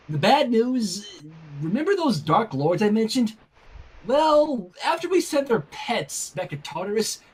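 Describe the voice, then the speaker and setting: A man's voice: very high voice, breathy edge, full character voice, rough recording. A man voicing a bookish, chatty young woman reading out her letter in a wordy, polite, earnest way.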